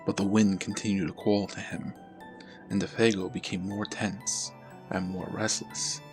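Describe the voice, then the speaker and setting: Low-voiced man narrating a horror story. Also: level tone, measured pace, over music. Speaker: storyteller, channel host.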